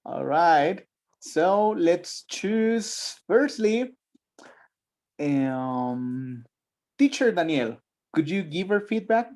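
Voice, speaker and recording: A man with a fairly high voice leads an online training session. He is polite and brisk, calling on people and thanking them. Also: expressive, darkish tone. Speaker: teacher trainer leading the session.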